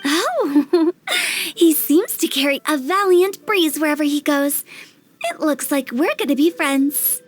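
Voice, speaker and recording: A woman's voice: sits high, big pitch swings, takes it slowly, slight background noise, full character voice. A woman playing a spirited, bossy but hospitable teenage girl in an anime game, with a breezy delivery. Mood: welcoming. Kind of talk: anime game dub acting.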